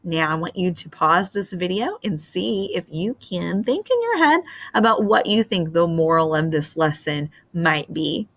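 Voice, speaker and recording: A woman's voice: on the low side, measured pace, few pauses, dry recording. A middle-aged woman teacher reading a storybook aloud with expression, then explaining its moral to students over a webcam. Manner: expressive and animated. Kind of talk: storybook read-aloud and lesson. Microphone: webcam on a screen share.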